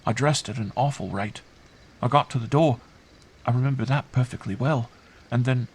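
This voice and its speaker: A man's voice: mid-range voice, moderate pace, slightly rough, pitched above the performer's own voice. A man voicing a bewildered, earnest young girl in an audiobook, trusting and fragile, speaking simply. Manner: hushed, simple, earnest delivery. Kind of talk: audiobook character voice.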